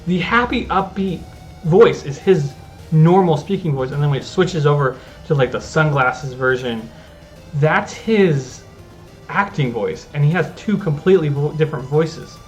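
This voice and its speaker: A man's voice: on the high side, expressive, a little slow, lo-fi sound, soft background music. A man giving casual, rambling commentary over his own gameplay as a gaming channel host. He often restarts his sentences and talks at an easygoing pace. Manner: rambling, self-interrupting, easygoing.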